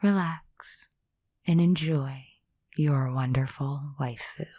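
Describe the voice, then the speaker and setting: A woman's voice: sits low, takes it slowly, warm tone, no echo. A woman host introducing an audio roleplay in a whispery ASMR style.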